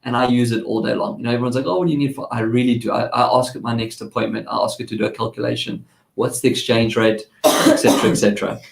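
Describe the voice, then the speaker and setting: A South African man hosts a relaxed tech chat with a gravelly edge to his voice. He talks in a rambling way, full of restarts and asides. The sound is echoey, like a bare studio room. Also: mid-range voice, fast. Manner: chatty, rambling, with many restarts. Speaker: tech segment host. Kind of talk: casual chat.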